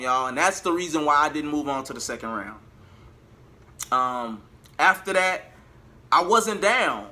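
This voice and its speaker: A man's voice: on the high side, sharp tone. A man telling a personal story to his viewers in a casual, emphatic way, repeating phrases for emphasis. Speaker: vlogger and R&B singer.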